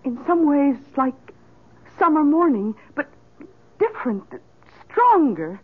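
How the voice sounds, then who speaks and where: An actress playing an anxious, devoted wife in an old-time radio drama, with a breathy voice and tender, halting delivery. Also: female voice, sits high, sing-song, heavily acted. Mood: hesitant, admiring. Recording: tinny vintage radio broadcast recording.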